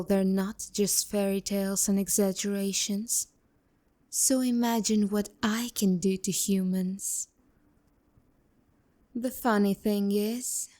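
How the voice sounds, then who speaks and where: A woman's voice: mid-range voice, mellow, close to an everyday voice. A woman playing a haughty, seductive demon courtesan in a romantic ASMR roleplay, speaking to the listener with condescending, teasing bite. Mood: smug, seductive. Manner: condescending, teasing, biting insults.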